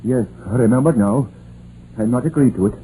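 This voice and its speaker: An actor playing a weary, principled older Welsh miner and father in a 1950s radio drama, halting and solemn, with a Welsh accent. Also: medium pitch, mellow, lightly acted. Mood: solemn, troubled. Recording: noisy, muffled vintage radio recording.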